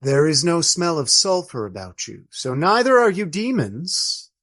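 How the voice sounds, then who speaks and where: A man playing an old friar in a radio play, speaking in formal, ornate, deliberate phrases with gallant fondness. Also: on the high side, big pitch swings, a bit bright, exaggerated voice. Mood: curious, probing. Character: courtly old Trappist friar, fond, wry, gallant storyteller.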